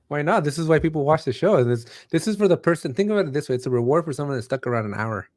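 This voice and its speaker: A man's voice: fairly high pitch, animated, fast, a little mellow. A man hosting an interview in a casual, conversational style. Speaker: host.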